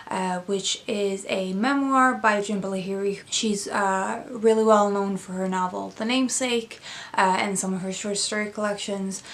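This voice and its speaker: A young woman, a native Swedish speaker, talking casually and thoughtfully about books she has read, with many fillers and restarts. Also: mid-range voice. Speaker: book vlogger. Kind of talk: casual book review chat.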